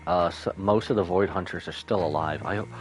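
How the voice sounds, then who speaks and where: A man's voice: low voice, fairly quick. A man giving casual live reactions to a game as a streamer, sympathetic and emotionally reactive. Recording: faint game music behind.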